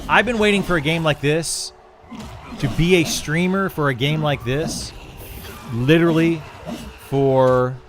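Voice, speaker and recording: A middle-aged man, a video game streamer, talking casually and a bit ramblingly as he answers viewers on a livestream. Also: medium pitch. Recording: clear background noise and ambience.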